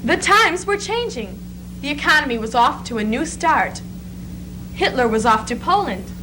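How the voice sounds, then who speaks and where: A woman's voice: high-pitched, big pitch swings, moderate pace, background noise. A woman performer giving theatrical scripted narration in a readers theatre piece.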